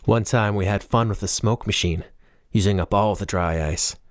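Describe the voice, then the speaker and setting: A man narrates a novel in the first person with a low-pitched voice. The sound is dry, with no room echo, as in an audiobook reading. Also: normal speed.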